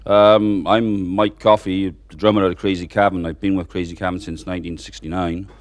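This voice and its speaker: A man's voice: low-pitched, level tone, brisk. A middle-aged man, a band drummer, speaking in a casual interview with a dry, teasing, hesitant manner.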